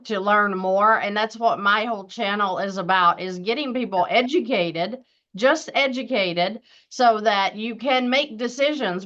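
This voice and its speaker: A woman's voice: medium pitch, a bit bright. A woman in her fifties hosting a casual interview chat, talking in a chatty, upbeat way with some restarts. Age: 50s. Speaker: host. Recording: dull lo-fi sound.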